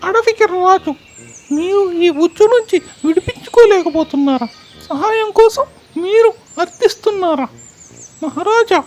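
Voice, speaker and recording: Woman acting a high-voiced cheeky little monkey. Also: lively intonation, moderate pace, darkish tone. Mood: mocking. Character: small, cheerful, cheeky monkey who teases the lion. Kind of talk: children's puppet show voice acting.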